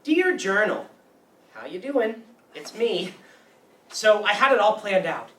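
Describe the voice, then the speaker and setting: An earnest, lovestruck young guy played by an actor in a play reading, awkward and hesitant. The pitch moves around a lot, and the voice is picked up from a distance in an echoey room. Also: very animated, slow pace, fairly bright tone. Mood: wistful. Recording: roomy, distant mic, faint noise.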